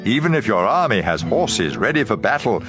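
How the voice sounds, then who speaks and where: A man narrates scripture in a dramatized audio Bible style. His voice sits fairly low and moves through a wide, lively range of pitch. Plucked guitar music plays clearly behind him. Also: normal speed. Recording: music bed under voice.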